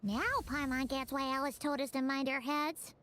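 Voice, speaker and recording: A woman voicing a tiny, chirpy, childlike fairy companion in an anime-style video game, with a squeaky voice and inquisitive manner. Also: steady tone, normal speed. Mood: curious, chirpy. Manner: speaks of self in third person. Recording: loud music behind the voice.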